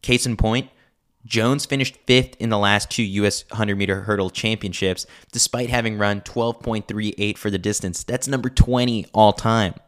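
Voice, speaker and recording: A man's voice: on the low side, steady tone, moderate pace. An American man narrating a scripted sports newsletter as a podcast host.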